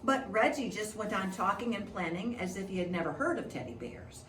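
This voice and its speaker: A woman reading a children's picture book aloud in an expressive storytelling style, in the manner of a preschool teacher. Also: medium pitch, fairly quick. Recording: echoey room, distant mic, faint noise.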